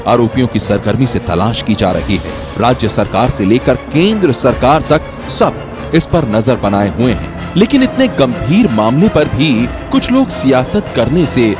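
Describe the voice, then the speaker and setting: A man's voice: mid-range voice, very animated, unhurried. Dramatic male crime news narrator over music. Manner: emphatic, theatrical delivery. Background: background music.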